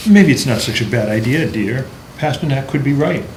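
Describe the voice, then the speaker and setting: A man's voice: medium pitch, animated, normal speed, lightly acted. A careful, courteous ex-soldier, played by a man, hesitant in his speech. The sound is roomy, with faint noise behind. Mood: polite, cautious. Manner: polite, hesitant. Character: polite, cautious former soldier man.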